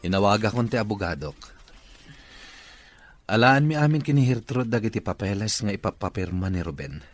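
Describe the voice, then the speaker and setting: A man's voice: fairly low pitch, expressive, normal speed, close to an everyday voice. A man with an Ilocano Filipino accent playing a stern, wealthy boss who uses a wheelchair, in a radio drama. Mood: commanding.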